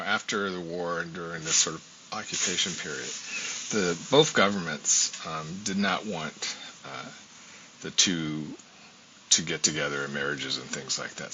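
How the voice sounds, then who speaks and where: An older man in his sixties with a strikingly low, forceful voice reflects on his life as a guest. He tells his story at an unhurried pace and fills his pauses with ums. The audio is dull and lo-fi, with a faint hiss underneath. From United States. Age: 60s. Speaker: guest scholar. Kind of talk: interview conversation.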